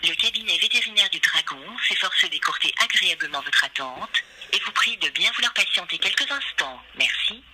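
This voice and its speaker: Man, telephone announcer, scripted hold message, somewhat high pitch, very loud noise on the line. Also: animated, a little fast.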